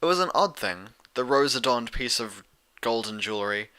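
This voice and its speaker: A man's voice: mid-range voice, animated, moderate pace, sharp tone, clear, resonant tone. A man reading scripted story narration as an audiobook narrator of fan fiction.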